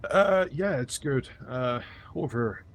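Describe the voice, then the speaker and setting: A man's voice: medium pitch, lively intonation, slow pace. A man on voice chat who talks with lots of hesitations, casually explaining game weapons and his work. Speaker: friend on voice chat.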